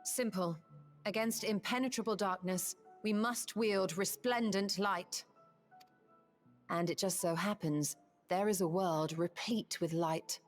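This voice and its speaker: A woman playing a composed, resolute sorceress and scholar in a fantasy video game, measured and formal. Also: medium pitch, steady tone, close to an everyday voice. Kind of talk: dramatic video game acting. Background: game music.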